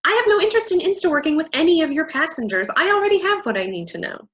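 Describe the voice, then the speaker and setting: A woman's voice: very high-pitched, very animated, rapid-fire. A woman playing a haughty, scornful, condescending character in a staged play reading. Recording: dull lo-fi recording.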